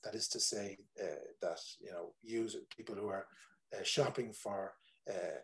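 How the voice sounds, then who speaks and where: A man's voice: lowish voice, fairly even intonation, moderate pace, fairly bright tone. A man in his forties with an Irish accent, a tour operator talking casually on a video call, rambling with many fillers and restarts. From Ireland. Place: home room, indoors.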